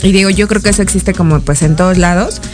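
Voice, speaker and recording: A woman with a Mexican accent hosting a casual interview, chatty and quick to laugh. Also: on the low side, fairly even intonation, music in the background. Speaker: host.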